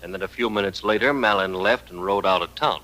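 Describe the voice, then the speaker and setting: A man's voice: low-pitched, a little fast, sharp tone, low-quality recording. An actor playing a wary townsman in a radio western, probing and uneasy as he questions. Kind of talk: 1950s radio western acting. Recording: old radio with faint noise.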